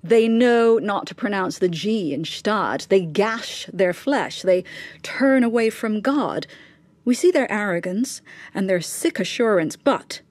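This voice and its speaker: A woman's voice: medium pitch, lively intonation, slow, a little mellow, dry recording. An actress playing a bitter, imperious, bigoted upper-class mother in a radio drama, clipped, cutting and sarcastic, with insistent questioning. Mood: insistent, agitated. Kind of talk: naturalistic radio drama acting.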